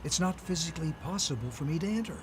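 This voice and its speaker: A man's voice: a little high, lively intonation, fast, noisy background, lightly acted. A man playing a cagey schemer in an audio drama, speaking in terse, formal phrases. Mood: urgent, commanding. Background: music.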